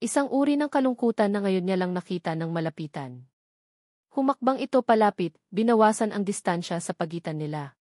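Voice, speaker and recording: Female Filipino storyteller, mid-range pitch, scripted dramatic audiobook narration, very dry sound. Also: lively intonation, brisk, warm tone, no echo.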